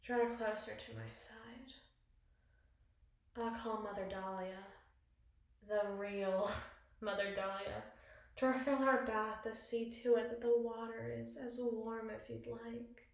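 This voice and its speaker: A woman's voice: a little high, little pitch movement, takes it slowly, gravelly. A woman playing a possessive, cunning evil queen in an ASMR roleplay, speaking in ornate, theatrical monologue to a spouse. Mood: tender, menacing. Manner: ornate, eloquent, dramatic phrasing. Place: small bathroom, indoors. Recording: very echoey tiled bathroom.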